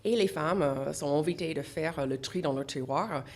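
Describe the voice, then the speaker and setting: Radio guest: middle-aged woman, English accent, very low voice, hesitant, lots of fillers. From English-speaking country. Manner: halting, many fillers and restarts. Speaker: association founder, guest. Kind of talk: radio interview. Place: radio studio.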